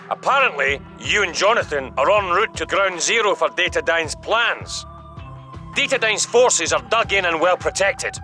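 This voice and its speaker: A man's voice: a little high, pushed and bright. A man playing a stern but concerned spymaster in a video game, giving earnest orders. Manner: commanding, earnest. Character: stern, concerned male spymaster commanding by radio. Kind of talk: video game cutscene acting.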